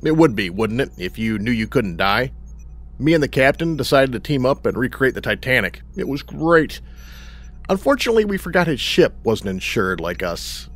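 An actor playing a jaunty, blasé, chatty regular customer with a reckless streak in a comedy audio drama. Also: male voice, mid-range voice. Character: breezy, cheerfully reckless, chatty regular customer named Charlie.

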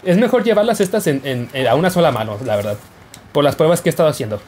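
Young guy joking through his gameplay, kinda high voice, jumps all over the place. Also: expressive, fast talker, darkish tone, a little hiss or hum. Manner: playful, jokey, yelps and laughs while playing. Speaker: gaming YouTuber. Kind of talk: casual gameplay commentary.

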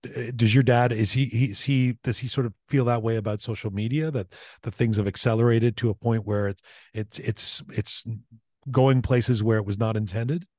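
Middle-aged host, halting, dry studio sound. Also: male voice, mid-range voice, steady tone, darkish tone, no room sound. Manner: halting, searching for words. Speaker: podcast host. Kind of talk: interview questions. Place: radio studio. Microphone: studio microphone with headphones.

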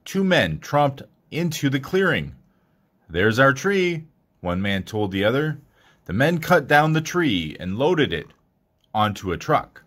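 A man reads a picture book aloud for young children. His mid-pitched voice moves up and down in a lively way, with expressive storytelling delivery throughout. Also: a little slow. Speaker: storybook reader.

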